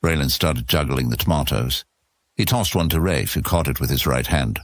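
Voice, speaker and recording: Very deep-voiced male story narrator. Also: animated, moderate pace, fairly bright tone. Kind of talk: scripted fantasy story narration.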